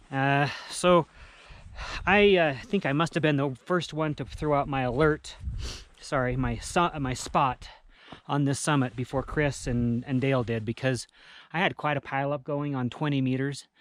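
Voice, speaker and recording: A man talking casually to camera outdoors in a halting way, searching for his words. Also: medium pitch, fairly bright tone. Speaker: amateur radio hiker hosting a summit video. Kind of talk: casual vlog talk to camera. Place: outdoors on a rocky mountain summit.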